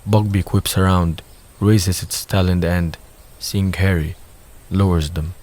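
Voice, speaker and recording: A man's voice: low voice, steady tone, takes it slowly, darkish tone. A man reading scripted narration for an audio drama.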